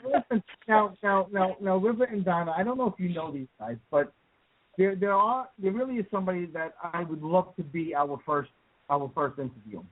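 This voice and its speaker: A man with a slightly high voice hosts a paranormal radio show, eager and stammering, repeating words as he pushes ahead. The sound is dry and thin, like a phone line. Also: fairly quick, dry recording. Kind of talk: casual radio chat.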